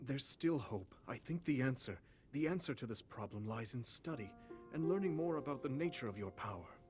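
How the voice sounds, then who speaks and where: An actor playing a noble, gentle king in a video game cutscene, speaking in a stately, reflective and reassuring way. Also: mid-range voice, expressive, a little fast, faint music behind, close to an everyday voice. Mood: pondering, hopeful.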